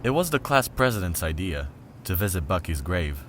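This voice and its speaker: Man voicing a timid schoolboy, lively intonation. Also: low voice, moderate pace, noisy background. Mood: concerned. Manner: hesitant, apologetic. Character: timid, polite, deferential teenage schoolboy. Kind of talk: anime fan dub voice acting.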